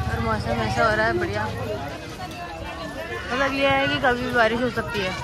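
A woman's voice: on the high side, fairly even intonation, moderate pace, over a noisy crowd. A young woman vlogging a family road trip in casual chat, laughing often, recorded on a phone. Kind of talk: casual travel vlog chat. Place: in a car and outdoors on a road trip. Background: loud car and street noise.

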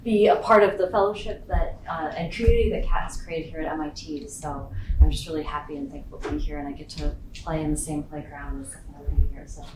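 A soft-spoken woman, the guest speaker, giving a talk with a quiet voice in a lecture hall. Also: low voice, fairly even intonation, quick pace. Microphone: far camera, no mic. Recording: very echoey hall from far off with hiss.

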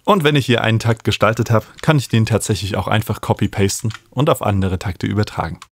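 Guy in his 30s doing a music software tutorial, lowish voice, dry close studio mic. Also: fast, creaky, no echo. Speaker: music production instructor. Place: small home studio, indoors. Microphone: studio microphone up close.

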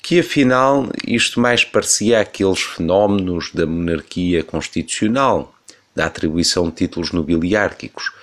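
A man's voice: sits low, moderate pace, fairly bright tone. A man in his thirties with a European Portuguese accent, giving an emphatic, rhetorical opinion commentary on the radio.

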